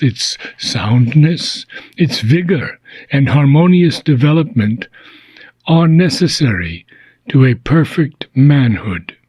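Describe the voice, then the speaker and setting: Guy reading a philosophy book really slowly, husky voice, solemn, lots of pauses. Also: a little high, darkish tone, no echo, highs cut off. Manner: deliberate, solemn, with long pauses between short phrases. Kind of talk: slow, measured audiobook reading of philosophical prose.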